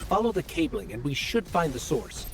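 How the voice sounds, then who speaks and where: A man's voice: high voice, very animated, moderate pace, gravelly, noisy background, full character voice. A man voicing an earnest, curious companion who guides the player through a game, helpful and explanatory. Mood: focused, curious. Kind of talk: scripted game dialogue.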